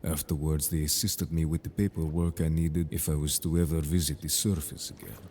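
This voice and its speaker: A male actor as a protective merfolk man with an extremely low, dark, mellow voice. He speaks in measured, formal phrases with calm assurance, like someone worldly and sure of himself, in an audio drama. There is some noise behind him. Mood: assured, explanatory. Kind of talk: audio roleplay drama acting.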